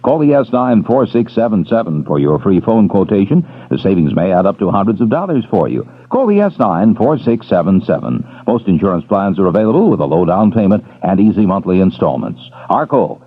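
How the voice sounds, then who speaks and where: A man reading a radio commercial in a persuasive sales-pitch manner. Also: slightly rough. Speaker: radio ad announcer. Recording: tinny old radio broadcast.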